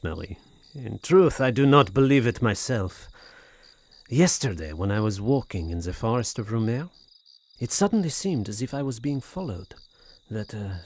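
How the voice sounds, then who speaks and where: An actor playing a tormented, anxious French gentleman in an audio horror drama, speaking formally with hesitant, brooding, theatrical delivery. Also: mid-range voice. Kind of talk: audio horror drama acting, period literary diction.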